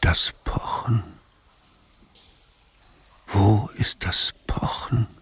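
A man's voice: fairly low pitch, fairly even intonation, very slow, a bit bright, husky, in a whisper, heavily acted. A man narrating a radio drama in a grave, detached, documentary-like manner. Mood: hushed, grave.

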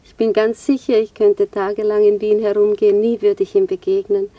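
An actress playing a dreamy, wistful young widow, musing to herself in a radio drama. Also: female voice, mid-range voice, little pitch movement, brisk, dry recording, far from the mic, highs cut off, natural voice. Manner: musing to herself, softly wondering. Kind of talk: 1960s German radio drama acting.

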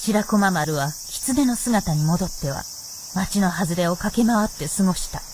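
A woman's voice: lowish voice, expressive, unhurried, darkish tone, slight background noise. A woman reading scripted narration for a radio drama, with music behind her.

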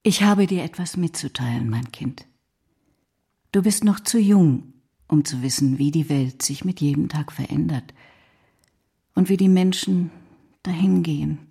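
A grave, gentle matron in a radio play. Her low voice is half-whispered and creaky, and she speaks slowly and solemnly. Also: exaggerated voice. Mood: grave, hushed. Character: solemn schoolmaster's wife gently breaking grave news to child.

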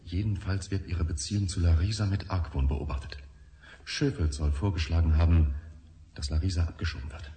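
An actor playing a secretive male informant speaking in a hushed, urgent, confidential manner in a radio play. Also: deep voice, steady tone, a little fast, slight background noise, close to an everyday voice. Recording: roomy reverb.

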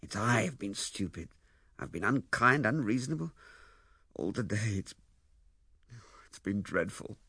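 A man's voice: on the low side, expressive, a little slow, pauses often, sharp tone, husky, no echo, clean studio sound, exaggerated voice. A man playing an earnest, remorseful young husband in an audiobook, speaking with tender emotion. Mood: tender, self-reproachful. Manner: impassioned, tender. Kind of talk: audiobook character acting.

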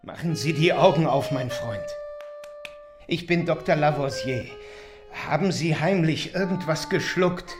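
A man's voice: fairly high pitch, unhurried, close to an everyday voice. A man acting in a radio drama as a brusque, sardonic doctor who talks to his patient in a patronising, scolding way. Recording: echoey room, music underneath.

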